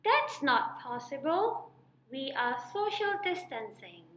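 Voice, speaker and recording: A woman playing a fretful little fairytale dwarf in an exaggerated, deliberate pantomime style. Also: sits high, sing-song, fairly bright tone, heavily acted. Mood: alarmed. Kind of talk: children's pantomime acting. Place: indoor room, virtual background. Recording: noisy, echoey room.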